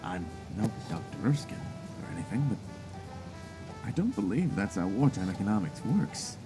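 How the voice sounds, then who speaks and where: Male actor, earnest young soldier, skeptical, dry delivery, lively intonation, audio drama, strong music bed. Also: fairly low pitch, normal speed, rough recording. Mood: dry skepticism. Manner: dry, questioning. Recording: music under the voice.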